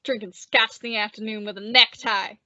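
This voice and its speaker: A woman's voice: medium pitch, steady tone, a little fast, loud music behind the voice, lightly acted. A woman playing a crude, gloating male henchman in an old-time radio drama, slangy and eager to please the boss. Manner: jeering, slangy. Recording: dull, close lo-fi audio.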